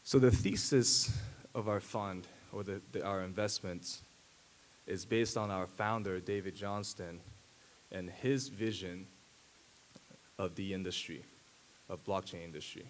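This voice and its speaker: Casting: native Japanese man, 30s, low voice, hesitant stage speaker, faint hiss. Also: steady tone. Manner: halting, many fillers and restarts. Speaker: conference speaker. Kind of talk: conference keynote talk. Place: large dark auditorium stage. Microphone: handheld mic at a podium.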